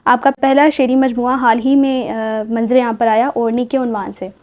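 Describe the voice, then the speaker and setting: A woman with a fairly high voice hosts a literary discussion. She speaks politely and shows appreciation as she guides the programme along. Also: steady tone, normal speed, darkish tone. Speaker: talk show host.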